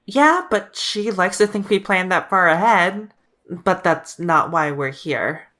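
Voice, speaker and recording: A woman's voice: on the low side, expressive, takes it slowly, pushed and bright, exaggerated voice. A woman playing a frank, worried teenage heroine in an audio drama, direct and persuasive in conversation. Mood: earnest.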